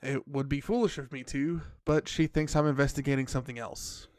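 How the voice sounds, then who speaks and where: An actor playing an uptight, discreet magical cafe co-owner in a fiction podcast, brisk and businesslike. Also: male voice, medium pitch, steady tone, a little mellow, dry recording, studio quality, natural voice.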